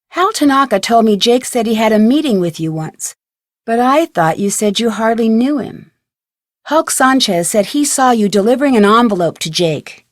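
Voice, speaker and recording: A woman's voice: fairly high pitch, no room sound, clean studio sound, close to an everyday voice. A woman playing an inquisitive, polite teenage girl detective in an adventure game, with earnest scripted delivery. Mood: curious.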